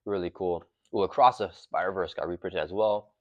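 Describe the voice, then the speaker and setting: A man with a very low voice talks loosely through an unboxing, full of "uh" and "um". His throat is sore and he has a cough drop in his mouth. The recording is dry and slightly dull. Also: fairly quick, no echo. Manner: relaxed, rambling, many fillers. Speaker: channel host. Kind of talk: casual unboxing and card game talk.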